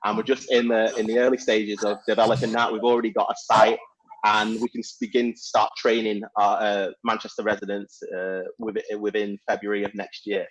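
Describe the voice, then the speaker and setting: A man's voice: lowish voice, flat delivery, a little fast, rough recording. A man enthusiastically describing his education company on a webinar panel, with many fillers and restarts. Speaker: STEM education company founder. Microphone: video call.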